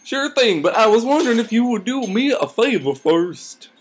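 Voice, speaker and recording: A woman's voice: a little high, big pitch swings, moderate pace, faint music behind, pitched above the performer's own voice. A woman voicing a friendly, folksy female cartoon farm pony who worries about her friend. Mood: earnest, worried.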